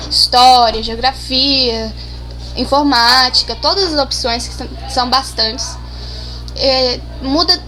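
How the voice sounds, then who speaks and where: A woman's voice: high voice, poor audio. A seventeen-year-old girl from Brazil, answering interview questions casually, shy and hesitant with many restarts. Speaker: interview guest.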